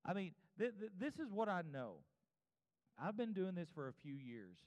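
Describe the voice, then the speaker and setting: A man's voice: sits high, big pitch swings, normal speed, darkish tone. A man in his fifties preaching a church sermon, speaking earnestly and directly to his congregation in repeated, exhorting phrases. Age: 50s (52). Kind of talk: evangelical church sermon with altar call. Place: church service before a congregation. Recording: muffled, reverberant large room.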